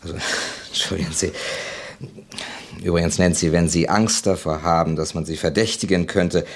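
An actor playing a gentle, courteous amateur sleuth in a German radio crime play, speaking thoughtfully and hesitantly. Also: male voice, low-pitched, fairly even intonation, moderate pace, no echo. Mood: gentle, questioning.

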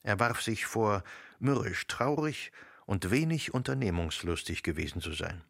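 Low, husky, gravelly male narrator. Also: expressive, fairly bright tone, dry recording, studio quality. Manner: measured, literary storytelling. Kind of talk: literary scripted narration for radio drama. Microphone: close studio microphone.

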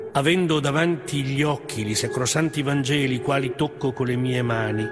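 An actor playing a humbled seventy-year-old man in a stage monologue, solemnly and formally reciting a sworn recantation with deliberate pauses. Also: mid-range voice, natural voice. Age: 70s (figure). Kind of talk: theatrical dramatic monologue. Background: music.

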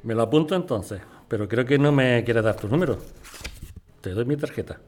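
An actor with a Spanish accent playing an excitable residents' association president in an audio drama. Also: male voice, mid-range voice, normal speed, natural voice. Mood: friendly, flirty. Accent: Spanish from Spain. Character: irritable yet flirty male residents' association president, builder.

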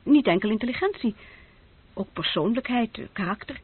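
A woman's voice: on the high side, expressive, fairly quick, slightly rough, dry recording. An actress playing a candid, self-possessed female student of nineteen in a radio drama. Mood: candid, hesitant. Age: young adult. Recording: narrow-band old radio play recording, very dry.

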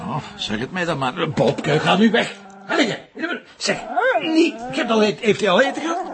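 A man's voice: sits high, lively intonation, moderate pace, a loud crowd. An actor playing a harried detective and father in a radio drama, with a Flemish accent and a grumbling, wry, colloquial manner. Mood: wry, distracted.

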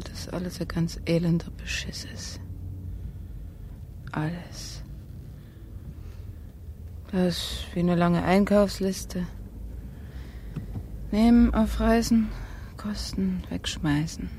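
Slow, cynical young woman. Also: lowish voice, mellow, a little hiss or hum. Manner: deliberate fragments, sometimes dropping to a whisper. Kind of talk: radio play acting.

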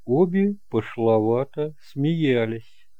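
A man narrating a detective audiobook in a measured, deliberate storytelling manner, in a homemade recording. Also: medium pitch, expressive, dry recording, lo-fi sound. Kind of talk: scripted dramatic narration of a detective novel.

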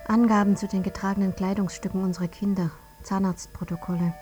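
A woman's voice: medium pitch, steady tone, fast, mellow, soft background music, natural voice. An actress playing a sober female news voice in a radio play, reading out reports of a disaster. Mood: matter-of-fact.

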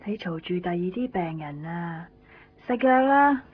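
An actress playing a brisk, firm female hospital nurse in a radio drama. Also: fairly low pitch, lively intonation, slight background noise. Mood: firm, scolding. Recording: tinny band-limited 1990s radio.

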